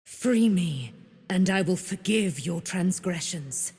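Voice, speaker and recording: Captive immortal warrior woman, fantasy game, bitter n proud, talks real slow, big echoing reverb. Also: fairly low pitch, lively intonation, darkish tone, breathy edge, exaggerated voice. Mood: bitter, stern. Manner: grave, archaic, theatrical. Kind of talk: dramatic fantasy game acting. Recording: long cavernous reverb.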